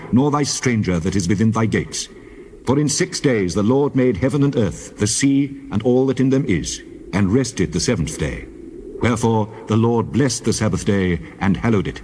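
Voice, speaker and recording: Man playing a low-pitched, commanding God. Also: darkish tone, slight background noise, lightly acted. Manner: solemn, measured, declamatory. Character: God, solemn commanding male deity giving laws. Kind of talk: dramatized scripture reading.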